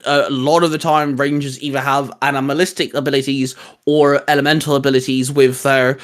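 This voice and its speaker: A man's voice: medium pitch, flat delivery, fairly quick. A man hosting a casual, geeky discussion, explaining his ideas in a rambling way. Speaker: host.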